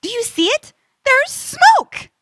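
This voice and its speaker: A woman's voice: extremely high pitch, slow, a little hiss or hum, full character voice. A woman playing a sensible, anxious, exasperated adventurer's sidekick in a comedic children's audio drama. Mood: urgent, panicked. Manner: exasperated, excitable.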